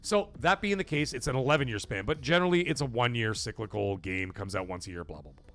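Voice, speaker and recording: A man's voice: mid-range voice, animated, quick pace, fairly bright tone, faint music behind. A man hosting a gaming livestream, talking casually and emphatically to his chat, often checking in with his listeners as he argues his point. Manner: emphatic, persuasive, keeps checking in with "okay?" and "right?".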